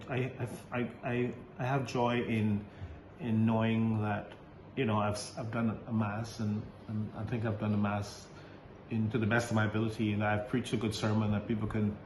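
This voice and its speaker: Hesitant priest, low voice. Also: male voice. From Barbados. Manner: hesitant, halting, many fillers and restarts, laughs often. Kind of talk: casual interview answers. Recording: roomy recording with faint background noise.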